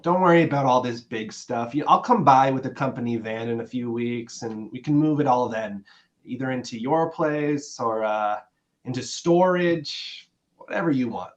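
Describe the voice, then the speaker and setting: A man's voice: mid-range voice, normal speed, dull audio, close to an everyday voice. A man playing an affable, self-satisfied businessman and boyfriend in a dramatic play reading. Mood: affectionate.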